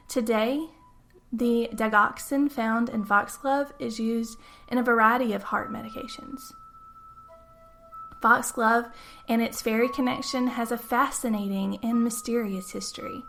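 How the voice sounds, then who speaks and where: A woman with a fairly high voice reads a scripted tale as its storyteller. She narrates in the first person in a dramatic storytelling style. Music plays faintly behind her. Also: fairly bright tone. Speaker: podcast host and storyteller.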